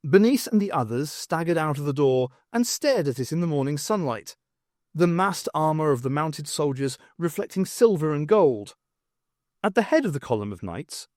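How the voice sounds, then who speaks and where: A man's voice: fairly high pitch, darkish tone, dry recording, studio quality. A man narrating a fiction audiobook in a scripted storytelling style.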